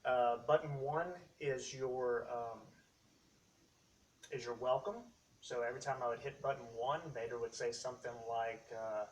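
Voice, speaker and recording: A man casually demonstrating hobby gear as a video host, explaining in a halting, rambling way. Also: medium pitch, fairly even intonation, dull audio. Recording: distant mic, faint background noise.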